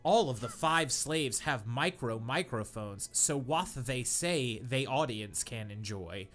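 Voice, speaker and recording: A man's voice: medium pitch, expressive, slow pace, fairly bright tone. A young man hosting a comedy podcast, reading a story aloud in a mock-dramatic way and cracking up. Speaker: podcast host, reader.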